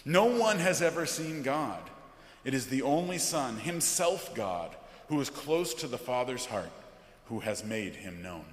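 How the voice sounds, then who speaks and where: A man, a pastor, speaking solemnly and deliberately from a church pulpit in a liturgical setting. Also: mid-range voice, sing-song. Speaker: Lutheran pastor. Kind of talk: liturgical scripture reading. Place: large church interior, from the pulpit. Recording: echoey church with long reverb.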